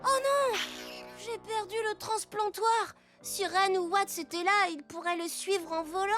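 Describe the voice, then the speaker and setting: An excitable little cartoon boy hero, earnest about his team. The voice is extremely high and bright, with faint children's music behind it. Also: unhurried. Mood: worried. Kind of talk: French cartoon dub acting.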